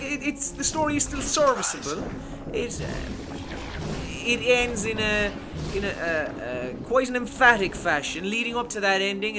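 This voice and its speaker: A man giving a casual, rambling game review, with frequent restarts. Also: sits high. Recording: music playing behind.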